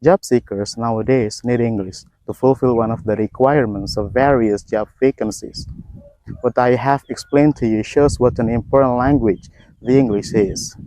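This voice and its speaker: A man's voice: medium pitch, mellow, dry recording, low-quality recording. Formal Indonesian man giving a dry talk. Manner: formal, measured, textbook phrasing. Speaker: educator. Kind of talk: scripted talk.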